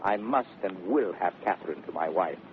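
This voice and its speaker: An actor playing a brash, teasing gentleman suitor in 1930s radio Shakespeare, declaiming with mock sweetness. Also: deep voice, animated, moderate pace. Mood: exuberant, insistent. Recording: tinny, noisy 1930s radio recording.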